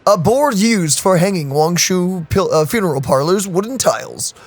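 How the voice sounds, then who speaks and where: A woman giving casual, expressive let's play commentary, exclaiming and reading game text aloud. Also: fairly low pitch, normal speed, husky. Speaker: gaming video co-host. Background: game music.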